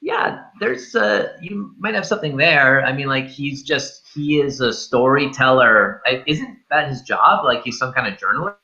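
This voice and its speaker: Bright-voiced man talking movies, slightly dull sound. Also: medium pitch, animated, normal speed, hardly any pauses. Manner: searching, repetitive, sweary. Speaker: film review show host. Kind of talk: casual movie discussion.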